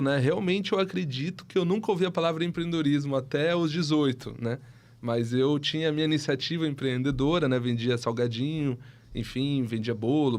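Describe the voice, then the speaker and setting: A man's voice: medium pitch, darkish tone. Man, explanatory and conversational. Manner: explanatory, frequently adds tag questions. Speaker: guest, tech entrepreneur and CEO. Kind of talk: conversational podcast interview storytelling.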